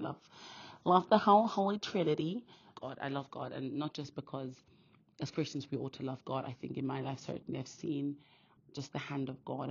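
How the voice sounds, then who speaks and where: Fast-talking woman with a gravelly, flat voice. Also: rumbling low. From Austria. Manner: earnest, talks fast with many restarts. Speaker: podcast host. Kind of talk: casual faith monologue. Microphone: phone. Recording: dull phone recording.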